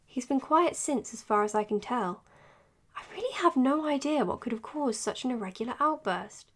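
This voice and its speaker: A woman playing an earnest, dutiful psychiatrist in an audio drama, speaking in a measured, precise, professional way. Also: sits high, expressive. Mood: measured, thoughtful. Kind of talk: audio drama acting as spoken case notes and dialogue.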